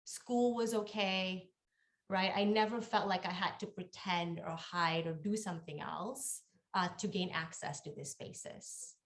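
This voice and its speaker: Soft-spoken Malaysian woman on a video call. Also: fairly low pitch. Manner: warm, unhurried, frequent ums and uhs. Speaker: workshop facilitator. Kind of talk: personal storytelling on a video call.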